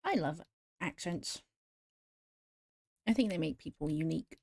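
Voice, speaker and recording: A woman's voice: sits low, expressive, unhurried, a little mellow. A woman streamer chatting casually and giggling as she answers viewers while she draws. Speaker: VTuber streamer.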